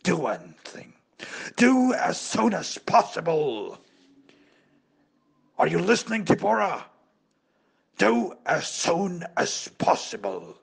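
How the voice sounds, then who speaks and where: A menacing crime boss, acted by a man, speaks extremely slowly in a husky, creaky voice. His pitch swings widely as he gloats in a sinister drawl for an audio drama. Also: huge pitch swings, exaggerated voice. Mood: impatient, commanding. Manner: slow, drawn-out, sinister delivery.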